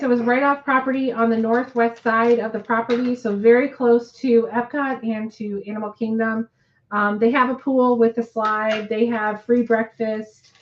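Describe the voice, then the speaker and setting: A woman co-hosting a casual live chat, rambling with many ums and restarts, her voice hoarse and nearly gone. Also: on the high side, lo-fi sound, slight background noise.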